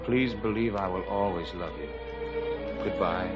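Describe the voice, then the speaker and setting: Tender earnest gentleman husband, old radio play, muffled w music behind. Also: deep voice, measured pace. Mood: sorrowful. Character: remorseful, devoted gentleman husband. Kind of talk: 1950s radio drama acting. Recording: muffled vintage radio recording.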